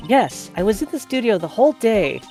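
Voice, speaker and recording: A woman's voice: on the high side, big pitch swings, a little fast, warm tone, soft background music. A woman voicing a chatty, earnest young female studio assistant in an amateur video game dub. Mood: explaining.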